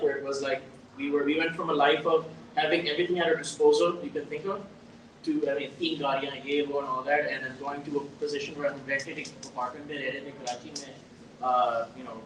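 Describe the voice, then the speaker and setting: Measured man in his thirties, echoey room. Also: mid-range voice, a bit bright. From Middle East. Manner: measured storytelling with pauses and restarts. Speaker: guest. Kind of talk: conversational interview. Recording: echoey room from a distant mic, faint noise.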